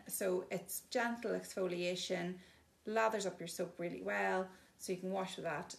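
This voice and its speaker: A woman's voice: lowish voice, vocal fry. A woman giving a chatty, casual demonstration of bathroom products, explaining each one plainly with frequent ums. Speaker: shop presenter showing products.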